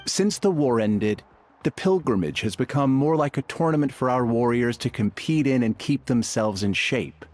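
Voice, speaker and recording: A man's voice: mid-range voice, lively intonation, moderate pace, darkish tone, close to an everyday voice. An actor playing a reasonable, persuasive male tribe chief in a video game.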